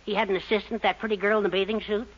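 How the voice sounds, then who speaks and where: An actress playing a bossy, persuasive schemer with theatrical, emphatic delivery in a 1940s radio sitcom. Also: female voice, mid-range voice, little pitch movement, rapid-fire, natural voice. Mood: scheming, persuasive. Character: bossy, scheming partner with grand showmanship. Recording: dull, noisy 1940s radio recording.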